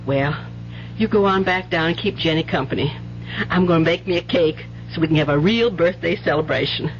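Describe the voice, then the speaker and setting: A dull old radio drama recording with a faint hum. A woman acts out a weary American wife and mother, guarded and plain-spoken, in a low voice for a woman. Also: fairly quick, close to an everyday voice. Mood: motherly, firm. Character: weary, wary working-class wife and mother.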